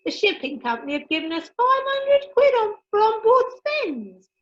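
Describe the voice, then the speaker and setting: A woman's voice: very high voice, big pitch swings, normal speed, slight background noise. A woman playing a brash, cheeky working-class mother, chatty and gossipy, in an amateur radio comedy. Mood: gleeful, boastful. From Basildon, Essex, England (character). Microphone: video call. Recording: dull, lo-fi video call.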